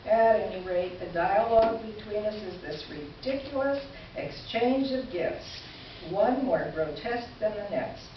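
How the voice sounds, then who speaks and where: A woman performs a wry, kindly female correspondent reading her letter aloud on stage. Her delivery is measured and theatrical at a medium pitch. The sound is distant and heavily echoing, with a muffled tone. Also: lightly acted. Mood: wry, amused. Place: live stage presentation in a roomy venue. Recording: distant, echoey, muffled live stage recording, noisy.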